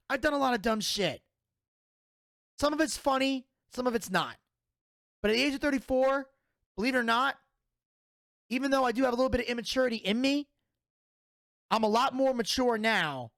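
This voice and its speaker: A man's voice: high-pitched, a bit bright, no echo, clean studio sound. A man in his thirties hosting a solo podcast, giving a blunt, profane and opinionated review. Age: 30s. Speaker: podcast host.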